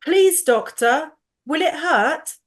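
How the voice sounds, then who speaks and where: A woman's voice: high voice, animated, slow. A female performer playing an earnest, dutiful young boy in a stage drama, with careful delivery. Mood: anxious. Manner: careful recitation.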